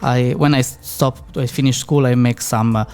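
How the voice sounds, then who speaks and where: A man's voice: mid-range voice, steady tone, darkish tone, smooth. Thirties man, Slovenian speaker, hesitant English, laughs often, faint music under. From Slovenian-Italian border area. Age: 30s. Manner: hesitant, many fillers, laughs easily, halting English. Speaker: winemaker guest. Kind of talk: casual interview answers.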